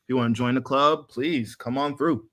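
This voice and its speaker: A man's voice: mid-range voice, steady tone, moderate pace, fairly bright tone. A man hosting a podcast in a casual group chat, talking in slangy, hype-man phrases. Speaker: podcast host.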